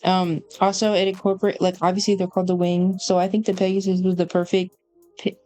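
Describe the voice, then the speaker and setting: A young woman as guest graphic designer, giving measured, hesitant opinions in a casual chat. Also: little pitch movement, warm tone, soft background music. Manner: measured, hesitant, low-key.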